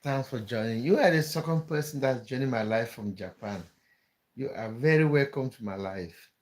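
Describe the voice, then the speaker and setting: A man's voice: medium pitch, normal speed, darkish tone. A man from Cameroon, hosting a casual live stream, chatting with viewers and often repeating words as he thinks aloud. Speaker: livestream host.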